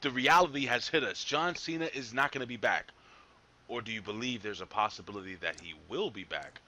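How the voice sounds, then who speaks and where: Guy hosting a wrestling chat, bright voice, jumpy and animated, keeps repeating words. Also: mid-range voice, a little fast, slight background noise. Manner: animated, repeats himself, jumps between topics. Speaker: podcast host. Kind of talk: casual panel talk.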